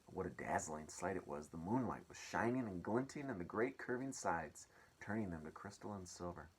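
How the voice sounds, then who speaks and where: Deep husky man reading a story aloud. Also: expressive, normal speed, poor audio. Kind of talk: expressive children's story reading.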